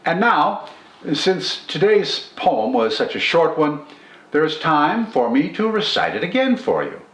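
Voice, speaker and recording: An older man presenting poetry in a formal, measured, scripted style, enunciating carefully. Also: a little high, sing-song, a bit bright. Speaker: host of a poetry reading channel. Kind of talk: scripted literary commentary and poetry recitation. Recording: roomy indoor echo.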